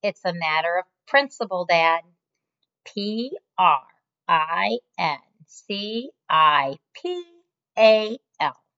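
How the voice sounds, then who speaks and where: A woman's voice: mid-range voice, very animated, moderate pace, fairly bright tone, pitched above the performer's own voice. Woman doing an eager pleading teen girl. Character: pleading, spirited teenage daughter. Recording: muffled lo-fi recording.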